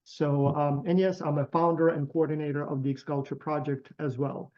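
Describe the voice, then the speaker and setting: A man's voice: a little high, little pitch movement, normal speed, dark tone. A middle-aged man with a Ukrainian accent, a professor and program founder, talking loosely on a video call with many fillers and restarts. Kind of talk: casual online meeting talk. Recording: dull lo-fi video call audio.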